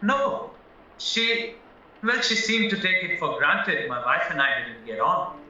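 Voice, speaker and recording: A man's voice: on the high side, lively intonation, unhurried, bright, forceful tone, heavily acted. A man acting a frank, flustered young married murder suspect in an audio play, hesitant and earnest as he protests. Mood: defensive, pleading. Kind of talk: stage-style courtroom audio drama acting. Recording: echoey, distant, dull, music behind.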